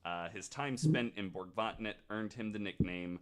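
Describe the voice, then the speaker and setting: A man's voice: fairly low pitch, normal speed. A man retelling ghost stories as a podcast host, in a casual, joking way.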